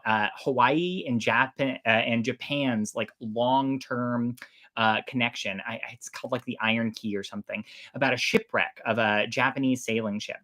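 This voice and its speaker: Androgynous sounding storyteller host, casual and really animated, pitch goes all over, big on emphasis.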